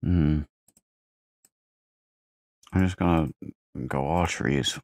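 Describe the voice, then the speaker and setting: A man records casual gaming commentary in a deep voice. He talks slowly, muttering and thinking aloud. The sound is close and dry, with almost no room. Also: darkish tone, dry recording. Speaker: gamer. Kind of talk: casual let's play commentary.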